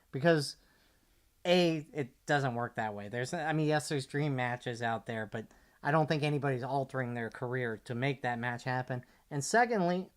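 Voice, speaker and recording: A man co-hosting a talk podcast, discussing sports casually and analytically, measured and point by point. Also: medium pitch, fairly bright tone. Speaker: podcast co-host.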